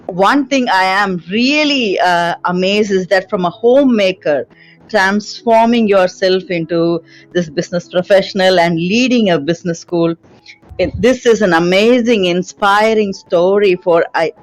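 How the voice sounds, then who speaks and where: A woman hosting an online interview, admiring and quick to laugh, speaking into a headset mic from a small room. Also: mid-range voice, rough recording. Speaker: interview host. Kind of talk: casual video-call interview. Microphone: headset mic on a video call.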